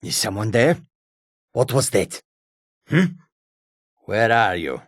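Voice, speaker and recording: Raspy cat man from a fantasy game, combative and wary, unhurried pace, very dry close recording. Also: lowish voice, very animated, no room sound, exaggerated voice. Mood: wary, searching. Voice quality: gravelly, raspy. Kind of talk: video game character barks.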